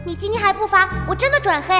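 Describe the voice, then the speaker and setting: A whiny young female fan acted by a woman in an audio drama, pitched extremely high. She scolds reproachfully through long reverb, with music behind her. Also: expressive, quick pace.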